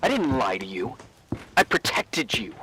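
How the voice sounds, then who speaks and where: A man's voice: medium pitch, big pitch swings, measured pace. A man playing an earnest, reproachful character in tense video game dialogue, insistent and confrontational, with some noise behind him. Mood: insistent, defensive. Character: earnest, reproachful man defending his deception.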